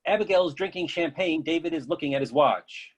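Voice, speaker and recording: Higher-pitched man reading stage directions. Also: brisk. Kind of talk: scripted narration for a play reading.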